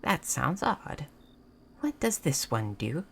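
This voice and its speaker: A woman playing a gentle, naive moth person in a roleplay audio, speaking in halting, simple, formal phrases. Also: sits low, big pitch swings, vocal fry, slight background noise, exaggerated voice. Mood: curious, hesitant. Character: gentle, naive, curious moth person learning human things. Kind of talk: scripted roleplay audio addressed to the listener.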